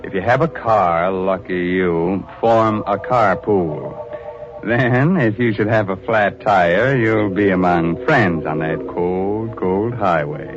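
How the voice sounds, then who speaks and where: A man hosting a 1940s radio horror show, delivering sly, mocking patter with drawn-out words and chuckles. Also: deep voice, over music. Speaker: show host. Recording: dull old radio recording.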